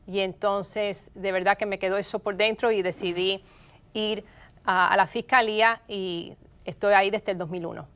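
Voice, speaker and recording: Middle-aged woman, smooth clear voice, measured explanations. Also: medium pitch, steady tone, a bit bright. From Miami, of Cuban parents. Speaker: guest, state prosecutor. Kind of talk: interview answers.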